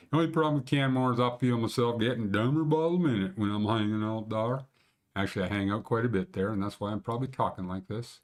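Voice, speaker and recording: Rambling older Canadian man, blunt jokes. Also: on the low side. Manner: rambling, blunt, joking, sometimes crude. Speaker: mountain conditions show host. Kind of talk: casual screen-share commentary. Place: small room at home.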